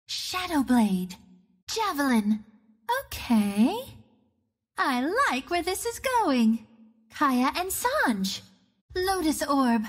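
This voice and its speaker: A woman's voice: high voice, breathy edge, full character voice. A woman playing a cocky, playful young archer heroine of the wind in a video game, with a breezy, sassy, theatrical delivery. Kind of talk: video game hero voice lines.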